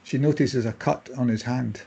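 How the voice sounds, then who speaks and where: A man narrating screenplay stage directions in measured, clipped phrases. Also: medium pitch, steady tone, vocal fry, warm tone, slight background noise.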